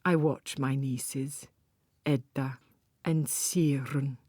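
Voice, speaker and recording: A woman's voice: extremely low pitch, steady tone, very slow, mellow, dry recording, studio quality. A woman narrating a literary first-person story as a scripted audiobook reading.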